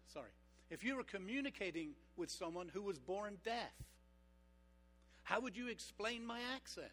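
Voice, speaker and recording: A man preaching a sermon as pastor, engaging his congregation with rhetorical questions and slowing down for emphasis. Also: high voice, fairly bright tone. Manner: slows down for emphatic points.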